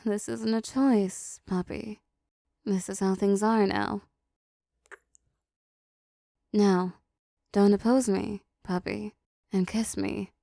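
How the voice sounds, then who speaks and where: A possessive demon seductress, acted by a woman, speaks slowly and teasingly in an intimate roleplay. Her soft, mellow voice drags into a creaky fry, dominant yet doting. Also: medium pitch, level tone, no room sound, clean studio sound. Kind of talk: intimate roleplay audio acting.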